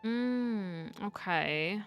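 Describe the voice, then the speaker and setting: A woman's voice: mid-range voice, animated, extremely slow, muffled recording, faint music behind. A woman streamer giving casual, chatty reaction commentary on a game story, with wry asides.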